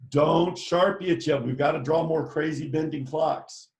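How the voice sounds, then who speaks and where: A man's voice: a little high, steady tone, normal speed, darkish tone. Upbeat art teacher guy, joking around, walking through each step of the drawing. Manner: upbeat, playful, step-by-step instructions. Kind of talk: live online drawing lesson.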